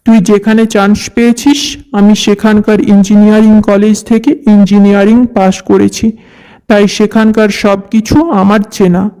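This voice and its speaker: Man acting smooth high-pitched young professional. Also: little pitch movement, normal speed, mellow, low-quality recording. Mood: friendly, helpful. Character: friendly, helpful young engineer and persuasive businessman. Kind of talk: scripted cartoon dialogue.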